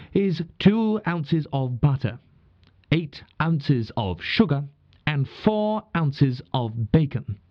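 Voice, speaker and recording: A genial British wartime broadcaster of 1940 , acted by a man, reads his radio script in a highish, very animated voice. He is formal yet chatty, with old-fashioned turns of phrase. The sound is dry and a little dull. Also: no room sound. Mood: formal, measured. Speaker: radio host. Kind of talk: scripted 1940s radio acting.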